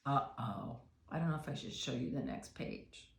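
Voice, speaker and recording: A woman's voice: rumbling low, animated, moderate pace, vocal fry, roomy sound, slight background noise. A woman reading a picture book aloud to children, expressively, with questions and asides to her young listeners.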